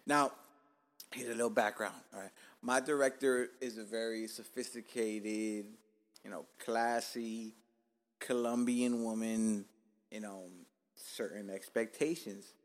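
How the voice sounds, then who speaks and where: Profane laid-back Cuban-born podcast host. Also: male voice, fairly low pitch, fairly even intonation, measured pace. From Cuba. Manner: profane, joking, laid-back street talk. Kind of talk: casual podcast chat.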